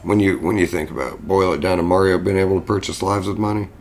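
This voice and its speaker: A man's voice: low voice, steady tone, fast talker. A man co-hosting a casual podcast, joking around and swearing freely. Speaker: podcast co-host.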